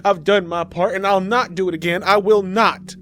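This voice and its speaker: A man's voice: high voice, steady tone, moderate pace, a bit bright, faint music behind, pitched above the performer's own voice. A man voicing a desperate, defiant farm mother in a horror story, emphatic and accusing. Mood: defiant anger.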